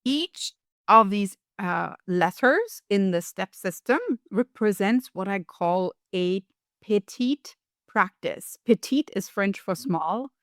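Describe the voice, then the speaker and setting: A woman's voice: medium pitch, lively intonation, slow pace, no echo, clean studio sound. A middle-aged woman from Europe with a European accent, speaking as an interview guest and coach in an upbeat, emphatic, motivational way. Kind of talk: conversational interview.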